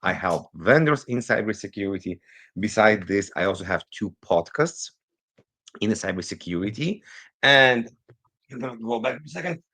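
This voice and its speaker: A man with a low voice talks as a guest over a remote call. English is not his first language. He tells his story energetically, sometimes speeding up and drifting off on tangents. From Israel. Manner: animated, rushes through some phrases, digressive. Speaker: guest, cybersecurity consultant. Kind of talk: conversational interview storytelling. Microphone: remote recording, virtual call.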